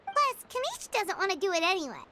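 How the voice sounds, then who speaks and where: A woman voicing a small, childlike, excitable fairy companion who is easily flustered, in video game dialogue. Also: very high voice, fairly quick, a little hiss or hum, exaggerated voice. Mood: concerned. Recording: loud game music behind.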